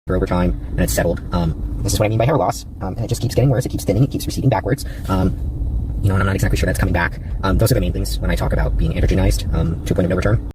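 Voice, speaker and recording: A man with a deep voice talking haltingly about himself in a personal vlog clip, with many "um"s. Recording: distant mic with clear traffic noise.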